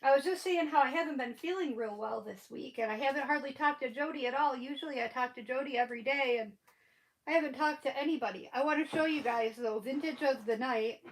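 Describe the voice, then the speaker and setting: Woman, high voice, sniffly head cold, chatty and rambling, casual livestream host. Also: a little fast, rough recording. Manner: chatty, rambling, laughs often.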